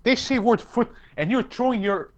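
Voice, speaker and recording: A man's voice: very high voice, normal speed, dull audio. A man from Serbia co-hosting a podcast, talking emphatically with plenty of swearing and lots of "dude". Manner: emphatic, profane, says "dude" often. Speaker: podcast co-host. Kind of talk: casual chat.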